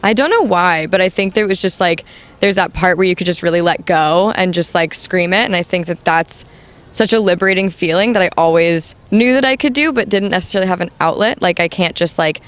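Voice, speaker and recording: A young adult woman with a high voice, the band's new singer, answering interview questions casually with many "like"s and "um"s. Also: a little fast. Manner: chatty, full of "like" and "um".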